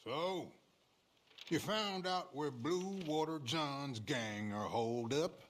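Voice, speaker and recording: An actor playing a shady, folksy frontier fixer in a video game, with an American accent and a knowing drawl. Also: male voice, a little high, slow. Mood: scheming, eager. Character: shady male frontier fixer, sly, folksy outlaw schemer. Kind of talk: video game cutscene acting.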